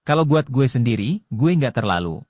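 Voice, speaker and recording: Male audiobook narrator, first-person story in casual slang, medium pitch, dry sound. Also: expressive, moderate pace, a little mellow, no room sound. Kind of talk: first-person novel reading.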